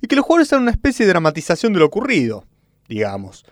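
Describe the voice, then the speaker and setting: Guy as eccentric argentine football president, grandiose, pitch all over the place, dry close sound, radio comedy. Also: wildly swooping pitch, no room sound. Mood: grandiose, musing. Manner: pompous, halting then grandiloquent. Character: pompous, eccentric football club president, lover of fine words. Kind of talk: radio sketch comedy acting.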